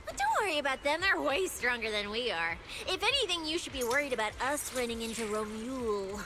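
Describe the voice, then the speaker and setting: A woman playing a cheeky, excitable young case specialist in a video game, expressive and boastful. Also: high voice, fairly quick, background noise. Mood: breezy, wary. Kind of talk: animated video game voice acting.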